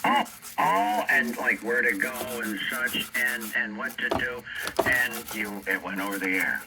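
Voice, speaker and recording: A man's voice: on the low side, lively intonation, a little slow, creaky. An older American man chatting casually over shortwave amateur radio, telling rambling anecdotes. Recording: very noisy shortwave radio reception, heavy static.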